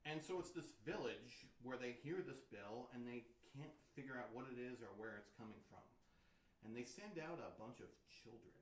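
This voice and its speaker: A man's voice: medium pitch, lively intonation, normal speed. A man speaking informally as a pianist introducing pieces at a recital, in a conversational storytelling way with wry asides. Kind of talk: informal spoken concert commentary. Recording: roomy live sound with faint noise.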